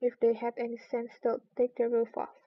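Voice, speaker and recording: A woman's voice: fairly high pitch, completely flat delivery, normal speed, no echo, lightly acted. Woman playing plucky, musing little girl Alice. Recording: dull lo-fi recording.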